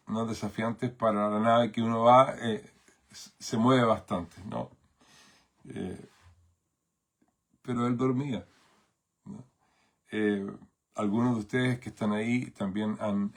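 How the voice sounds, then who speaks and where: A man from Chile with a Chilean Spanish accent, preaching a sermon as pastor in a thoughtful way, with many fillers and tag questions. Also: on the low side.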